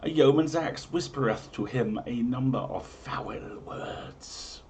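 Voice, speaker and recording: A man's voice: medium pitch, slow pace, darkish tone, a little hiss or hum. A man hosting a livestream, speaking in an emphatic, theatrical way as a reader and presenter. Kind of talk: dramatic comic reading in mock-medieval English, then casual chat.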